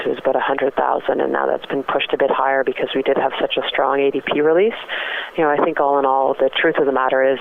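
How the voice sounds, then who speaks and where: A woman's voice: a bass voice, vocal fry. A woman giving analytical market commentary as a guest strategist, heard over the telephone. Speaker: currency strategist, interview guest. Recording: narrow tinny phone line with faint noise.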